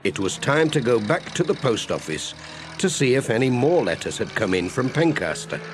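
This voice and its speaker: A man narrating a children's audiobook in a gentle, clearly phrased storytelling manner. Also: mid-range voice, sing-song, moderate pace, noisy background.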